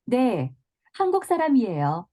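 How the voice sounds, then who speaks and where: A woman with a fairly high, mellow voice reads her lines of a scripted practice dialogue. She speaks slowly and carefully, with lively movement in her pitch. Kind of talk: scripted dialogue for a listening exercise.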